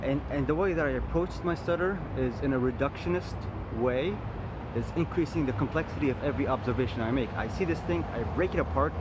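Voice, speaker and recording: A man talks through a reflective vlog in an ordinary mid-range voice, pausing and restarting his sentences as he thinks aloud. He is recorded outdoors, with loud traffic rumbling behind him. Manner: thoughtful, halting, with frequent restarts and fillers. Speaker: vlogger. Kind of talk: reflective personal monologue.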